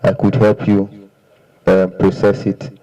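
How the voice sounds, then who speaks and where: A man giving a halting confession as a suspect, repeating phrases. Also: on the low side, monotone, warm tone, dull audio, faint music behind. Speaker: paraded fraud suspect.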